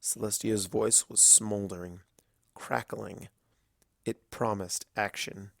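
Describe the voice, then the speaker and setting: A male narrator with a fairly low voice reads a dramatic story. He goes slowly and gravely, pausing for weight, in a dry recording with little room sound. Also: fairly even intonation, no echo. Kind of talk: dramatic scripted fiction narration.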